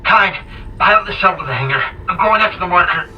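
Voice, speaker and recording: A man's voice: sits high, animated, quick pace. A wounded space engineer in a sci-fi game, pushes on through pain and exhaustion. His breath is laboured, and the signal is dull and distant with faint noise. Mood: pained resolve. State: pained, exhausted, breathing hard. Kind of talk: video game voice acting. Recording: lo-fi noisy radio transmission, distant.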